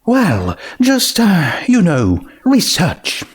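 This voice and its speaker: A man's voice: high-pitched, huge pitch swings, drawn-out words, breathy edge, voice pushed up, exaggerated voice. A man voicing a dramatic, genteel female pony shopkeeper with a theatrical manner in a story reading. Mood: hesitant, probing. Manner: theatrical, says "darling". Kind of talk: fan fiction character reading.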